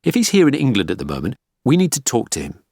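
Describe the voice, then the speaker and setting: A man's voice: medium pitch, huge pitch swings, fast, a little mellow, breathy edge, dry recording, clean studio sound, voice pushed up, full character voice. A man playing a male detective superintendent in an audiobook, firm, probing and authoritative. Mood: businesslike.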